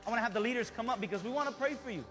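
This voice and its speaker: Fervent high-pitched male preacher over music. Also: racing, fairly bright tone. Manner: fervent, exhorting. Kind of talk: sermon with pauses for interpreter. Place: church stage before a congregation. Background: worship music.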